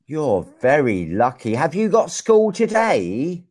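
A young man from England, teaching a young child over a video call in slow, clear, encouraging speech full of exclamations. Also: a little high. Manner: slow, clear and lively, often exclaims and laughs. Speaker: online English teacher. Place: small room indoors. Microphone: video call with wired earphone mic.

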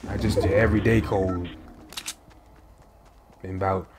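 A man's voice: deep voice, flat delivery, measured pace, background noise. A young man with a stuffy, nasal voice from a cold, chatting to viewers while he plays. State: congested, recovering from a cold. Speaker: gaming channel host. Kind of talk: casual gameplay commentary.

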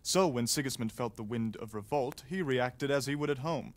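An actor voices a well-spoken young nobleman in a game scene. He is polite and measured and lays out his reasoning step by step. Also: mid-range voice, lightly acted. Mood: explaining. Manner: polite, explanatory. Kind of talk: video game cutscene dialogue.

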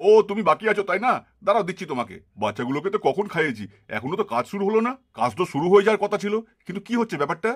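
A man's voice: high-pitched, sing-song, racing, few pauses, full character voice. A man voicing a sly, lecherous snack-seller villain in a puppet cartoon, wheedling and pushy. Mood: scheming.

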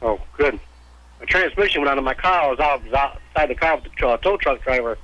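Man, radio caller, bright voice, animated intonation, casual chat, dull phone sound. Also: mid-range voice, fairly quick, dry recording. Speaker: radio call-in listener. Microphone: telephone.

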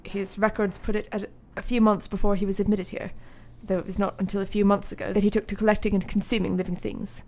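A woman as a quick-talking asylum doctor. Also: medium pitch, flat delivery, darkish tone, lightly acted. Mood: businesslike. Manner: clinical, businesslike. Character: brisk, firm asylum doctor. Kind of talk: radio play acting.

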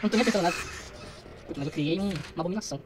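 Young adult Brazilian male gamer, quick casual muttered commentary, slangy, noisy background. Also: high voice. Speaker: gaming streamer. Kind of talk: casual let's play commentary.

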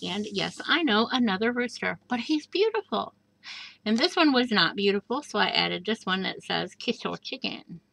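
A woman with a bright, clear voice chats through a craft walkthrough. She talks casually and gushes over each piece, slipping in soft asides and little fillers. She is recorded indoors at a craft table, filmed from above. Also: medium pitch, expressive, normal speed, poor audio. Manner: chatty and gushing, with many fillers and soft asides. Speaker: crafter showing a handmade junk journal. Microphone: overhead camera above a craft table.

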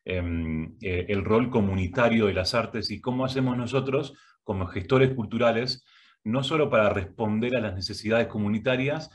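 A middle-aged man speaks with an Argentine Spanish accent in a somewhat low voice. He talks in a halting way, full of filler sounds and restarts. He is recorded on a webcam in a home office. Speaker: guest speaker, arts education director. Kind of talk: prerecorded video talk. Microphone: webcam, head and shoulders framing.

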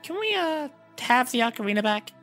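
Man putting on a squeaky, indignant little fairy. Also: big pitch swings, brisk, fairly bright tone, soft background music, exaggerated voice. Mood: plaintive. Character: small indignant female fairy companion, sour and pushy.

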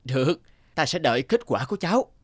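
A man's voice: a little high, very animated, a little fast, no echo, studio quality. An actor playing a big, sceptical cartoon businessman who bargains in a condescending manner. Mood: shrewd bargaining. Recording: music behind the voice.

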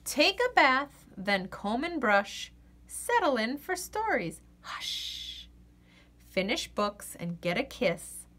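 Animated, high-voiced woman reading a picture book. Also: slow pace. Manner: expressive, sing-song rhyming delivery for young children. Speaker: children's story reader. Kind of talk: read-aloud picture book narration.

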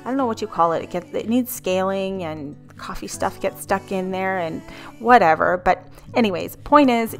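A woman in her thirties talks through her cleaning routine in an easy, chatty voice-over, wandering into little asides as she goes. Her voice sits at a mid pitch, and soft music plays faintly underneath. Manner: chatty, rambling, relaxed asides. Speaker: cleaning vlogger. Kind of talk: casual voice-over narration.

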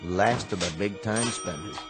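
An actor playing a wisecracking bartender in a radio drama, dry and sardonic. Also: male voice, fairly low pitch, a little slow, natural voice. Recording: radio play with music underneath.

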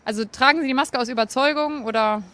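A woman's voice: sits high, quick pace, a bit bright. A young woman conducting street survey interviews with a handheld microphone outdoors. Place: busy city street outdoors. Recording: outdoor street with traffic noise. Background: traffic and city ambience.

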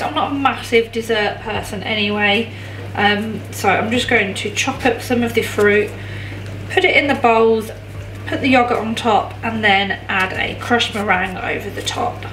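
A woman, talking viewers through a recipe in her home kitchen in a relaxed, chatty way. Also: fairly high pitch, a little hiss or hum. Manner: chatty, relaxed, frequent "um" and "honestly". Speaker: cooking vlogger. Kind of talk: cook-along voice-over and chat to camera. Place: home kitchen and living room.

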